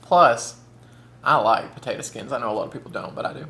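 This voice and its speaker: A man's voice: medium pitch, fast talker, bright, forceful tone. Chatty man eating on camera. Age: 30s. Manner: chatty, camp, says "y'all" and "honey"; talks with mouth full. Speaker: mukbang vlogger. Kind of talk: casual chat while eating.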